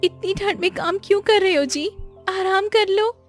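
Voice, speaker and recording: A woman's voice: extremely high pitch, moderate pace, faint music behind, voice pushed up. A woman voicing an anxious, pleading young village wife and mother in a cartoon story. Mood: worried.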